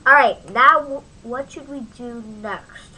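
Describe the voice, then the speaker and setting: A woman giving a casual software tutorial in an informal, halting way, often interrupting and talking to herself. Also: high voice, poor audio. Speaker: tutorial host. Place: at home, at a computer.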